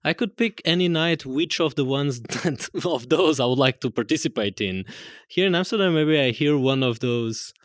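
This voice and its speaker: A man's voice: on the high side, lively intonation, moderate pace, few pauses, dry recording, clean studio sound. A man from Portugal with a Portuguese accent, chatting casually on stage at a live event, rambling and correcting himself as he goes. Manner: rambling, self-correcting, laughs often. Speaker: guest musician. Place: live event room with audience.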